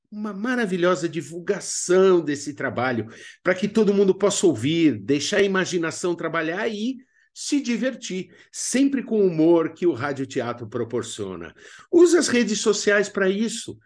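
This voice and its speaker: Brazilian man teaching, very animated intonation. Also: fairly high pitch. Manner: didactic, emphatic, informal asides. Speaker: drama workshop instructor. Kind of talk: instructional video lesson narration.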